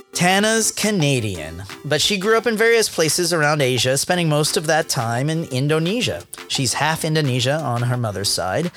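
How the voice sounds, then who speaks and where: A man narrating a career podcast from a script, with a playful, wry tone. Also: medium pitch. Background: soft music.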